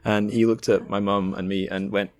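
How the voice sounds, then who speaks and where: A man's voice: on the low side, level tone, normal speed, no echo. A man hosting a podcast, chatting casually and telling anecdotes. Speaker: podcast host.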